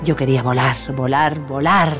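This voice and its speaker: A woman's voice: rumbling low, fairly even intonation, normal speed. A woman playing a determined, independent 1930s aviator in a scripted audio drama, assured and spirited. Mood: reflective. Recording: music behind the voice.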